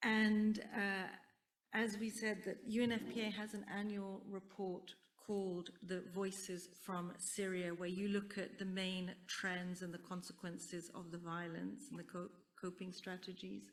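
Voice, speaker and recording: Woman moderator, very flat even voice, faint noise behind. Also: mid-range voice, unhurried. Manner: polite, slightly apologetic, with fillers. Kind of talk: panel moderation.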